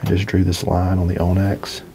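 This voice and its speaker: Easygoing young male hunting host, deep mellow voice, flat steady delivery, faint hiss behind. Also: moderate pace. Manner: easygoing, plainspoken, talks his plan through. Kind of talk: casual on-camera hunting talk. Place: inside a small hunting blind.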